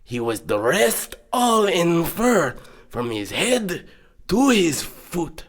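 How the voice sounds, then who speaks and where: A man narrating a storybook tale in a theatrical, dramatic and expressive way. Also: fairly high pitch. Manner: dramatic, expressive, drawn-out phrasing. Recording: music behind. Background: background music.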